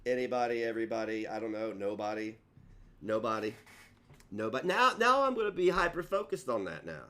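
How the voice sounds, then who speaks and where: A man's voice: on the low side, sing-song, a little fast, bright, forceful tone. A man, chatting casually on a livestream in a rambling, self-mocking way and tripping over his words. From Kentucky.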